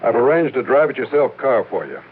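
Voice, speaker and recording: A man playing an earnest American insurance man who sounds worried and distrustful. His mid-pitched voice carries confiding, slightly hesitant radio-drama dialogue. The recording is narrow and tinny, like an old 1950s broadcast. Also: big pitch swings, a little fast, background noise, lightly acted. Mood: concerned, businesslike. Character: worried, suspicious male insurance office manager. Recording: thin band-limited old radio broadcast.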